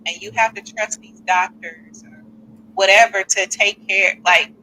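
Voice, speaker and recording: A woman hosting a live call-in podcast, chatty and animated, with speech and reading out listener comments. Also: medium pitch, measured pace, slight background noise, poor audio. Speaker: podcast host.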